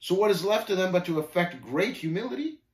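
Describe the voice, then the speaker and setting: A man's voice: sits high, very animated, a little fast, pitched above the performer's own voice. A man voicing Shame's part in a story, a haughty scoffer who talks in archaic speech with contemptuous, gloating, snapping taunts. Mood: sneering, gloating. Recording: roomy, echoing voice recording.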